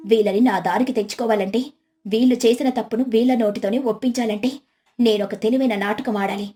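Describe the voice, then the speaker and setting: A woman's voice: on the high side, steady tone, fairly quick, warm tone, close to an everyday voice. A woman storyteller voicing a clever, theatrical village housewife with an exclamatory, dramatic manner. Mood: sly, scheming.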